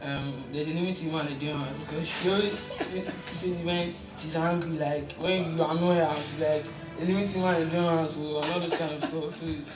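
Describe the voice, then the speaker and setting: A young man with a Nigerian accent, speaking quietly and hesitantly about his late mother. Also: on the high side, steady tone, a little fast, hardly any pauses. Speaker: interviewee. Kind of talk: reflective interview answers. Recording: roomy echo with music behind.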